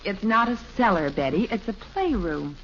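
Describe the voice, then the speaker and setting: An actress playing a gentle middle-aged American housewife and mother in an old radio sitcom, with a mild, placating manner. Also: medium pitch, lively intonation, normal speed. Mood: mildly protesting. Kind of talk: 1950s radio sitcom acting. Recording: dull, noisy old radio broadcast recording.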